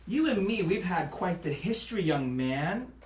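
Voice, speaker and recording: A man hosting a comedic talk show, playfully teasing his guest and shouting the show intros. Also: high-pitched, very animated, normal speed, roomy sound, a little hiss or hum.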